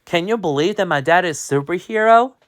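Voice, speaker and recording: A reader voicing an earnest young schoolboy in a homemade spoken story, pitched well above their own voice. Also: lively intonation, a little fast, natural voice. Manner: earnest read-aloud delivery. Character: excited young boy, proud and loyal.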